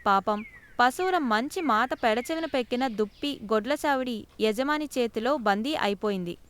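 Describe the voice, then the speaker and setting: Clear, higher-pitched woman storyteller. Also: lively intonation, moderate pace. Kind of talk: scripted narration of children's moral stories.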